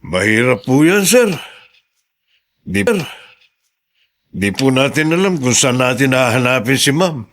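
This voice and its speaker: An actor playing a respectful, concerned mayor's aide in a Filipino radio drama, deferential and gently probing. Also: male voice, medium pitch, big pitch swings, a little slow, pauses often.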